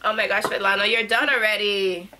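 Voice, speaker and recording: A young woman chatting on a video call, animated and bubbly, quick to exclaim. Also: mid-range voice. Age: young adult. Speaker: guest. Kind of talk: casual chat. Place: room full of plants.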